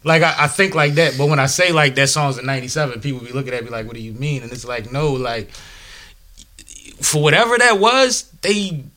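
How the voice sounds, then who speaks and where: A man who sings speaks with a middle-pitched voice. He talks about making records in a casual, emphatic way. He presses each point home by repeating it. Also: brisk, bright, forceful tone. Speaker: singer. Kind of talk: casual conversation.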